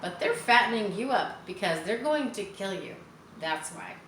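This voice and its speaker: A woman's voice: medium pitch, very animated, moderate pace, a bit bright, roomy sound, a little hiss or hum. A woman voicing a grim, gossipy old female sheep from a storybook, with a matter-of-fact, snappish manner. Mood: knowing, gossipy.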